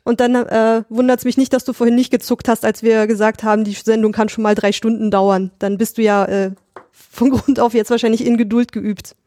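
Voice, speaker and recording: A woman's voice: fairly high pitch, level tone, fairly quick, mellow, no room sound, clean studio sound. A woman co-hosting a podcast, asking a guest questions in a casual, curious way. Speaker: podcast co-host. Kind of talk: casual interview.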